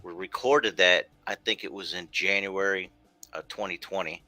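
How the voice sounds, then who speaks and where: A man's voice: low voice, fairly even intonation, moderate pace, bright, forceful tone, no echo. A man hosting an interview show in a loose, rambling conversational way. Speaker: show host. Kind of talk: casual interview chat.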